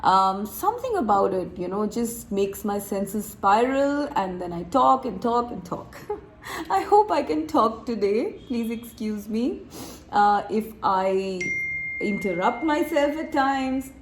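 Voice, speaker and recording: A woman recovering from a cold, reading tarot to her viewers in a chatty, relaxed way, laughing and clearing her throat often. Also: fairly high pitch, slow, a little hiss or hum, rough recording. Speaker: tarot reader. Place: room with door open, wind chimes outside.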